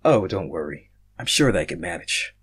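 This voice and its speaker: Man voicing a husky, bewildered, panicky young stranger. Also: sits low, very animated, normal speed, a bit bright, voice pushed down, full character voice. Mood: wry, anxious.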